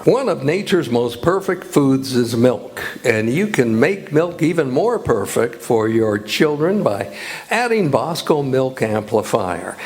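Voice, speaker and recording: A man's voice: mid-range voice, big pitch swings, unhurried, a little mellow. A man with an American accent reading scripted credits and advertising copy as an old-time radio announcer.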